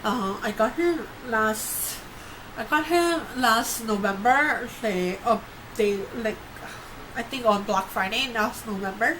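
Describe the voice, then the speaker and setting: A woman's voice: a little high, slow. A young woman who grew up in Korea and New York, chatting casually to camera in a vlog, with lots of fillers and restarts. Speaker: YouTuber. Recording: noisy home recording.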